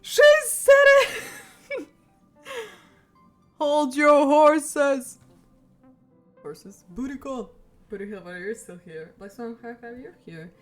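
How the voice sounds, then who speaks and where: A woman livestreamer reacting casually to a game, playful and excitable, often repeating her phrases. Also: high voice, takes it slowly, pauses often. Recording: game music behind the voice.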